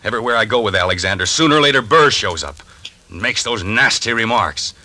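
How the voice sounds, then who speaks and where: Testy, sharp-voiced, low-pitched husband. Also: male voice, expressive, fast, no echo, full character voice. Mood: agitated. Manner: defensive, agitated, snappish. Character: irritable, obsessed husband haunted by recurring dreams. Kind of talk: old-time radio drama acting.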